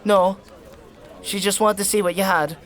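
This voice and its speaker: An actor playing an anxious husband in disguise in a dark fantasy audio drama, polite, apologetic and smoothing things over. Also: male voice, high-pitched, fairly even intonation, normal speed. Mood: nervously polite.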